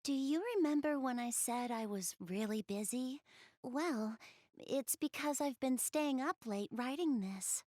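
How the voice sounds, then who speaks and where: A woman voicing a shy, easily flustered young goddess in an anime video game, speaking softly and hesitantly to the player. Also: sits high, no room sound, clean studio sound. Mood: shy, hopeful. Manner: hesitant, bashful, halting.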